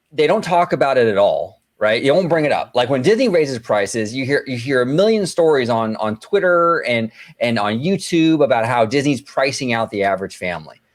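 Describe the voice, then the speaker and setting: A man with an average-pitched voice hosts a casual podcast. He talks in a brash, loose way, swears freely and circles back over the same phrases while he riffs. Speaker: podcast host. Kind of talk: casual chat.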